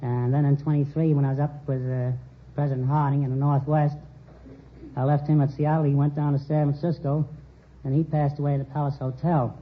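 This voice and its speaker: An older man from New York City answering as a quiz show contestant in an earnest, halting, formal way. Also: fairly high pitch, little pitch movement. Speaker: contestant, retired Secret Service agent. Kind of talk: unscripted quiz show interview. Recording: muffled vintage radio with hiss.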